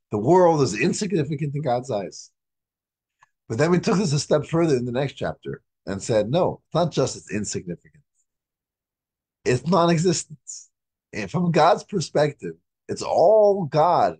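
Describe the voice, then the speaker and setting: A man in his thirties with a hoarse voice, teaching an informal religious class on a video call, explaining step by step in a halting way and repeating phrases for emphasis. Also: fairly high pitch, darkish tone. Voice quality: husky. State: hoarse, recovering from a lost voice. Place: indoors, home study with bookshelves. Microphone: video call microphone.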